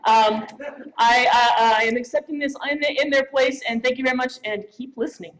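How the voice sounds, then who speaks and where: A woman's voice: sits high, steady tone, normal speed. A woman giving an informal speech on stage at a live event. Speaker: award presenter. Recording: noisy live event microphone with clicks.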